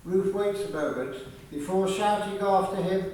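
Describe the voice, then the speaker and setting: Narrator for radio drama: man, measured scripted read, notably unhurried, in a very reverberant room with faint noise. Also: high voice, darkish tone. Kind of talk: scripted radio play narration, reading stage directions. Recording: distant, echoey, noisy room recording.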